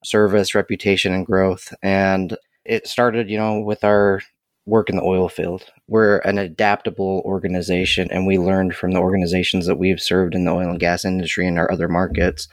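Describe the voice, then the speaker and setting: A man answering interview questions about his work as a safety director, in a measured, reflective way. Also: deep voice, level tone, no echo, clean studio sound. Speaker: podcast guest, safety director.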